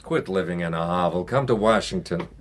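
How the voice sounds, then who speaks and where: An actor playing a worldly, teasing older brother in a radio drama, dry and sarcastic. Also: male voice, low-pitched, brisk, slight background noise, close to an everyday voice. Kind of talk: naturalistic radio drama acting.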